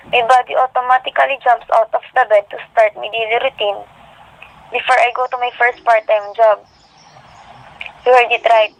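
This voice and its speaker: A woman's voice: fairly high pitch, lightly acted. A woman playing a weary young working girl who narrates her life in a scripted first-person style. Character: weary, orphaned eighteen-year-old working girl narrating. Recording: dull lo-fi recording.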